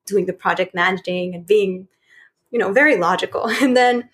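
Guest: woman, easy and chatty, quick to laugh. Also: medium pitch, a bit bright. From Chile. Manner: chatty, laughing, lots of "like" fillers. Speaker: guest, spiritual coach. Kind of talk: casual podcast chat.

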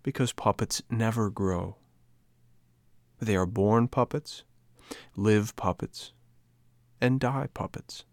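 A man reading a gentle motherly fairy woman. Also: fairly low pitch, slow, long pauses, no echo.

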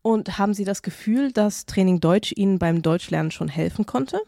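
A woman co-hosting a library podcast, asking interview questions in a friendly, measured way. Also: mid-range voice, animated, creaky, warm tone, no echo.

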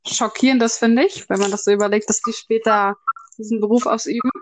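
A woman's voice: a little high, fairly even intonation, a little fast. A woman in her early twenties in a casual, unscripted podcast chat, speaking in a slightly tentative way. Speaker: podcast co-host.

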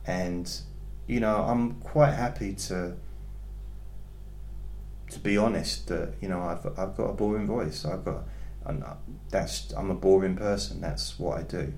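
A man hosting a hypnotherapy podcast who talks to his listeners calmly and in a rambling, self-deprecating way, often restarting his sentences. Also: low voice, unhurried. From England. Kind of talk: casual chat to listeners. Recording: echoey room with faint background noise.

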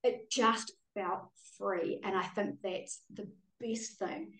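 A woman's voice: mid-range voice, fairly even intonation, slow, fairly bright tone. A middle-aged woman with a New Zealand accent, chatting candidly and casually as a podcast guest in an effusive, colloquial way. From Auckland, New Zealand. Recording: roomy echo, distant from mic.

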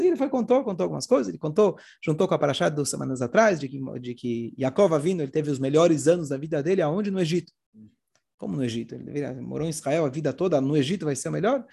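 A Brazilian man, hoarse with a cold, telling religious stories informally and acting out the dialogue as he goes. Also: on the high side, a little mellow. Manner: acts out dialogue while retelling. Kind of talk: animated informal religious storytelling talk.

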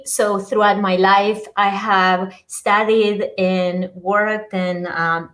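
A middle-aged woman from Argentina with an Argentine Spanish accent, chatting as an interview guest in a hesitant way, full of ums and uhs and small laughs. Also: medium pitch. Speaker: guest, app creator. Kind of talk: casual interview chat.

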